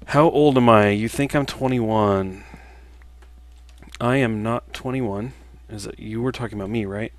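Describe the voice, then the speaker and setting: Relaxed, halting man in his thirties. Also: lowish voice. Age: 30s. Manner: relaxed, halting, many fillers, answers viewer questions. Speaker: livestreaming gamer and game developer. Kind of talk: casual livestream chat while playing.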